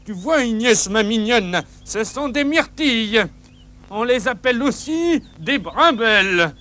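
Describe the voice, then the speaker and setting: A kindly grandfatherly old fellow in a children's show. He talks slowly in a high, bright voice, fussy and coaxing. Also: animated, exaggerated voice. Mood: explaining. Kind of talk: children's puppet show acting.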